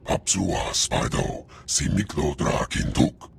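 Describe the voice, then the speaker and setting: A man's voice: very deep voice, very animated, takes it slowly, gravelly, breathy edge, exaggerated voice. A man voicing a big, brutal alien cartel enforcer in a video game, menacing and growling in an invented alien tongue.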